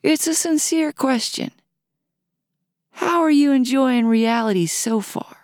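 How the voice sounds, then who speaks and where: A woman's voice: high voice, slow pace, darkish tone, no room sound, clean studio sound, pitched above the performer's own voice. A woman voicing a defiant female singer who addresses her crowd in a sardonic, provocative way. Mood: challenging.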